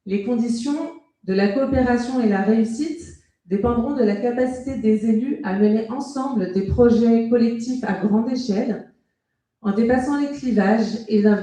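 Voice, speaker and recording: A woman, a local councillor delivering a prepared, formal political speech in measured, articulate phrases at a public meeting. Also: fairly high pitch, warm tone. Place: indoor hall at a public meeting. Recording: very echoey public meeting room.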